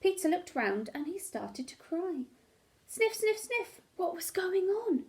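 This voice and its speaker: A woman with a high voice reads a picture book aloud as a teacher would to young children. Her delivery is dramatic and expressive, shaped for small listeners. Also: takes it slowly. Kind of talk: expressive storybook narration.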